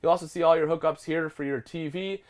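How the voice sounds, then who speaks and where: A man's voice: a little high, fairly even intonation, fast. A congested man with a head cold, a product specialist giving a friendly walkthrough tour inside a travel trailer and calling his viewers folks.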